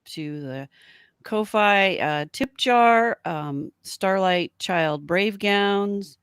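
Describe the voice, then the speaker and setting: A woman's voice: mid-range voice, drawn-out words, clean tone, no room sound, clean studio sound. A woman co-hosting a podcast, chatting casually and informally, rambling along with a giggly streak. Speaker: podcast host. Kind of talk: casual live-stream chat.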